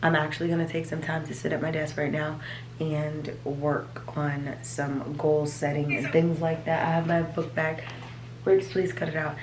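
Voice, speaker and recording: A woman with a raspy, allergy-hoarse voice, chatting casually to her viewers at home, rambling as she shows her planner. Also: sits low, level tone, normal speed, echoey room, background noise. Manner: rambling, chatty, talks to viewers as "you guys". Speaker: vlogger. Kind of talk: casual chat. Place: home, at a desk.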